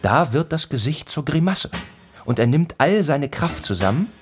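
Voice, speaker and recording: A man narrating a literary radio play in a deliberate, rhythmic way, with repeated phrases, as a stern teller of a bleak village tale. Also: on the high side, big pitch swings, soft background music. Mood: intense, building.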